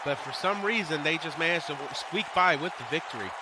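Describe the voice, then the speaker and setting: A man's voice: fairly high pitch, animated, a little fast, very noisy. A man doing informal live play-by-play of a wrestling game, talking through his slips, his voice starting to give out. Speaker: e-fed wrestling streamer and commentator. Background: wrestling game crowd.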